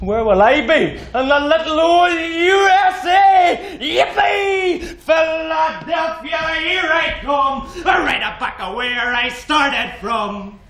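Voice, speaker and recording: A man's voice: extremely high pitch, slow pace, hardly any pauses, fairly bright tone, exaggerated voice. An actor playing an exuberant, cheeky young Irishman on stage, speaking with an Irish accent. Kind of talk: theatrical stage monologue and duologue. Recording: echoey theatre recording with faint noise.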